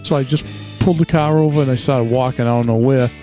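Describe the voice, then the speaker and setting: A man, telling anecdotes in a plainspoken, halting, casual way. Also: mid-range voice, mellow, soft background music. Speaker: podcast co-host.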